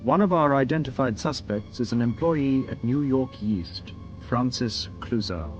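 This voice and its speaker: An actor playing a polite, literal-minded humanoid robot detective in a radio drama, speaking formally and precisely. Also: male voice, medium pitch, animated, unhurried, dull audio, over loud music, close to an everyday voice. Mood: earnest, puzzled. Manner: formal, precise, naively questioning. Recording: noisy old radio drama recording.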